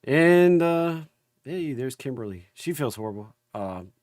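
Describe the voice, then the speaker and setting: Male livestream market host, gravelly and under the weather, informal folksy delivery, dry recording. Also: medium pitch, expressive, a little slow, a little mellow, dry recording. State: recovering from an illness. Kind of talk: casual livestream chat and market commentary.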